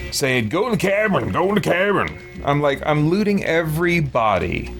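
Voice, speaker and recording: A man giving casual, rambling gameplay commentary as a streamer, thinking aloud and explaining himself to viewers. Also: medium pitch. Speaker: video game streamer.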